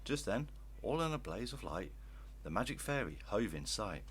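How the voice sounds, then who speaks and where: An older man reading rhyming children's stories aloud in an expressive, theatrical style. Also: fairly low pitch, normal speed, slightly rough. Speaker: children's story reader.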